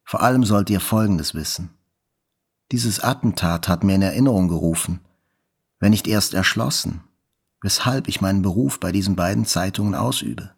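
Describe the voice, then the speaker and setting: A man's voice: low-pitched, normal speed, gravelly, slightly rough, heavily acted. An actor playing a wounded male journalist who recalls his hospital ordeal in reflective, first-person literary narration. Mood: earnest, grateful.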